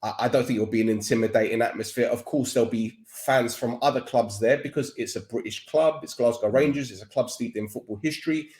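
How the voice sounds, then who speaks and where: A man's voice: mid-range voice, brisk, few pauses, a little mellow, echoey room. A man with a Cockney accent, a podcaster talking as a guest expert in a casual, rambling interview chat. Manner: chatty, rambling with restarts.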